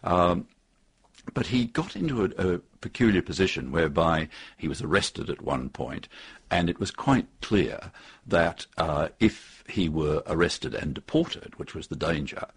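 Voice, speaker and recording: An older man telling historical anecdotes in an interview, in a halting but emphatic, conversational way. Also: sits low, expressive, breathy edge. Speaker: author interviewed about his history book.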